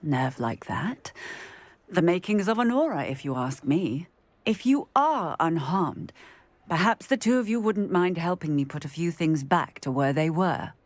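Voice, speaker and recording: Forthright policewoman, widely swinging pitch. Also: expressive, measured pace, no room sound. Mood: impressed, composed. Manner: forthright, exclamatory. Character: brisk, commanding, good-hearted village policewoman in wizarding world. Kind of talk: video game cutscene acting.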